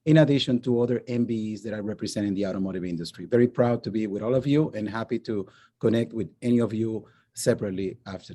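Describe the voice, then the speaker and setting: A man's voice: medium pitch, warm tone. A man from the Dominican Republic introducing himself on a video call, earnest and proud as he tells his story. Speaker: business development panelist.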